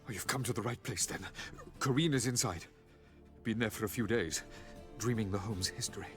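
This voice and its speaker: An actor playing a haughty, boastful wealthy banker in a video game, with a pompous manner of speaking. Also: male voice, fairly low pitch, over music, close to an everyday voice. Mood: condescending, measured. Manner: pompous, drawn-out phrasing. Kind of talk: video game character acting.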